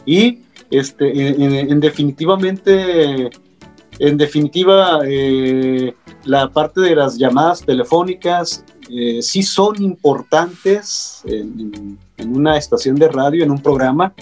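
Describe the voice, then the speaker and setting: A man's voice: on the high side, takes it slowly, a little mellow, soft background music. A man who works as a radio broadcaster, speaking hesitantly with many fillers and repeated words during a casual panel chat.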